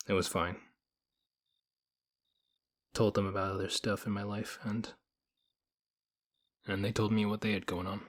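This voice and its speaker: A man playing a stoic young nerd, reserved and quietly affectionate, speaking in deadpan, measured phrases in an intimate audio roleplay. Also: fairly low pitch.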